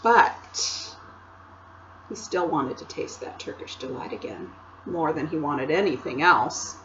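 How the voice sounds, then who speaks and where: Expressive woman reading aloud slowly. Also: deep voice, dull audio, a little hiss or hum. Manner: expressive, asks listeners questions. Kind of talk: read-aloud storybook narration.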